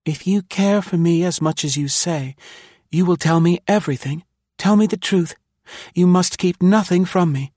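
Frightened young heroine of a melodrama, male reader, high-pitched, earnest, quivering yet determined. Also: moderate pace, no echo, studio quality, close to an everyday voice. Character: frightened young woman, pleading then firm.

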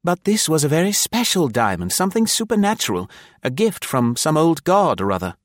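A man voicing a naive, excitable young British army officer in an audiobook, chattering eagerly in upper-class slang. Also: fairly high pitch, fairly quick, dry recording, clean studio sound, pitched above the performer's own voice. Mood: eager, confiding.

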